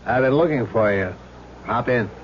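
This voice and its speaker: An actor playing an earnest, steady police sergeant in a 1970s radio drama. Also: male voice, mid-range voice, moderate pace, close to an everyday voice. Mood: urgent, earnest. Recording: muffled, noisy old radio broadcast.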